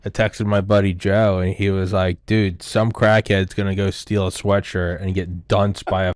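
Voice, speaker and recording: Man, podcast host, low voice, casual and self-deprecating, dry close sound. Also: little pitch movement, moderate pace, a little mellow, no room sound. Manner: loose, self-deprecating, laughs often.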